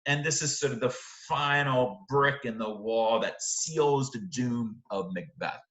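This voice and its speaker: Bright-voiced middle-aged man lecturing, roomy sound. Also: medium pitch, unhurried. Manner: emphatic, uses rhetorical questions and check-in tags. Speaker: literature teacher. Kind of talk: explanatory video lecture. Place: indoor room, close to a webcam.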